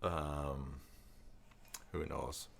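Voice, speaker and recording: A man with a Canadian accent hosting a casual live tutorial, thinking aloud in a halting, musing way. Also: very deep voice, steady tone, gravelly, a bit bright. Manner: halting, musing, reads aloud and mutters to himself. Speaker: live stream host, web tutorial presenter.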